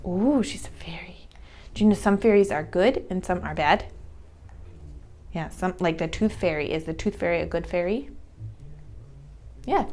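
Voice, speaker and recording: A woman teacher reading a story aloud to young children, explaining words in simple phrases and asking questions. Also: medium pitch.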